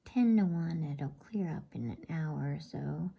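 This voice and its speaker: A woman acts a sensible girl, the motherly big sister in a bedtime storybook. She is gently bossy, speaking slowly in a husky whisper with a mellow tone. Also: sits low, expressive, heavily acted. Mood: prim. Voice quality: whispered, breathy.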